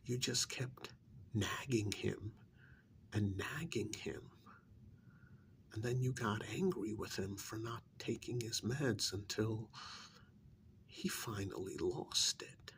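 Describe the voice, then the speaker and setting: A man playing a stern, secretive government handler in an ASMR role-play, speaking softly in a pointed, reprimanding, deliberate way. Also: lowish voice, slight background noise. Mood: stern, scolding. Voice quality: breathy near-whisper with gravelly creak. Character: stern, secretive male government handler scolding an undercover agent.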